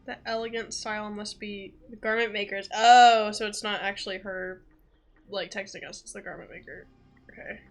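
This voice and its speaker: A woman's voice: mid-range voice, steady tone, normal speed. A woman streaming a video game with casual, playful, reactive live commentary.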